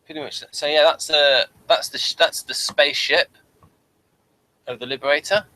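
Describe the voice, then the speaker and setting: A man hosting a casual review chat, talking in a halting way and repeating words and phrases. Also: fairly high pitch, steady tone, bright, forceful tone, poor audio. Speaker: host of a TV review show. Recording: faint background noise, room ambience.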